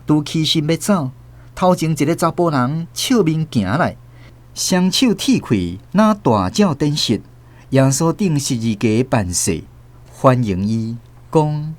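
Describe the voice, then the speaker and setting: A man's voice: on the high side, expressive, takes it slowly. A man with a Taiwanese accent narrating a radio drama as a scripted, dramatic storyteller.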